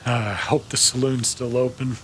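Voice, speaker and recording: A man playing a gruff, weary drifter in a western radio drama, sardonic and folksy in his muttered delivery. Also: medium pitch, steady tone, normal speed, a bit bright, a little hiss or hum, lightly acted. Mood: weary, dismissive. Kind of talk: old-time radio western acting.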